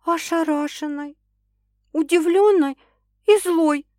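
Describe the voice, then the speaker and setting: A female narrator acts a feisty young woman from a romance audiobook. The voice is raised high and plaintive, quick to exclaim. The recording is dry. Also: darkish tone, dry recording. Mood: indignant. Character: feisty, emotional young pregnant woman in love.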